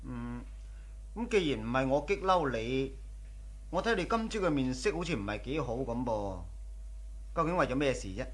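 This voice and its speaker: An actor playing a devoted, solicitous young husband in a radio drama, tender in manner. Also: male voice, mid-range voice, animated, normal speed, long pauses, natural voice. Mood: tender, concerned. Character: devoted, doting young husband and shop owner. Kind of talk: Cantonese radio drama acting.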